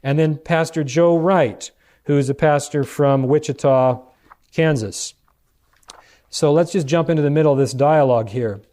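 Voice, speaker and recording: An American male preacher speaks in a sermon-like lecture style. His voice sits a little high for a man and has a distinctly mellow, rounded tone. He lays out his points deliberately, explaining as he goes and addressing his listeners with rhetorical questions. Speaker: preacher, church elder. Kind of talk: sermon-like Bible study lecture.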